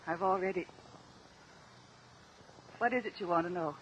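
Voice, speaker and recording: An actress playing a weary, grieving widow giving testimony in a radio courtroom drama. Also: female voice, fairly low pitch, normal speed, fairly bright tone, slight background noise, close to an everyday voice, poor audio. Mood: weary, sorrowful. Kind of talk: old-time radio courtroom drama acting.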